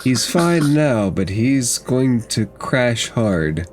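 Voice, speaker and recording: A man's voice: mid-range voice, animated, extremely slow, soft background music. A man narrating a tabletop game casually as game master.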